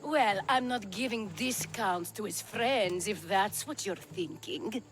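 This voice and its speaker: An actress playing a worldly, flirtatious courtesan in a video game cutscene, with a languid, wry manner. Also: female voice, medium pitch, takes it slowly, close to an everyday voice. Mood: wry, guarded.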